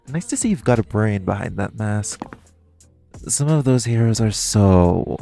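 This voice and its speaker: A man's voice: on the low side, vocal fry, dark tone, heavily acted. A man playing a flirty, teasing supervillain thief in an audio roleplay, coy and theatrical, drawing out his phrases as he talks to the listener. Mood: amused, taunting, then chatty, wry.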